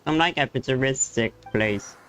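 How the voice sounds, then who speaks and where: A man's voice: medium pitch, normal speed, fairly bright tone, faint music behind. A man chatting casually as a game streamer, hesitant and rambling, often repeating words. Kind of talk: casual live-stream commentary and chat.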